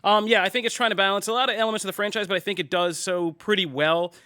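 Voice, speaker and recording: Bright, crisp-voiced male podcast host. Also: high voice, fast talker. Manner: energetic, joking, lots of fillers like "like" and "I mean". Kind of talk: casual panel chat.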